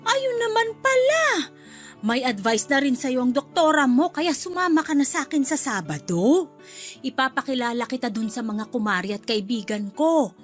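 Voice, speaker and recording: A woman's voice: sits high, big pitch swings, moderate pace, faint music behind. Chatty, meddling Filipino mother-in-law played by an actress. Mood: cheerfully pushy. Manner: chatty, laughing, bluntly nosy. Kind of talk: Tagalog radio drama acting.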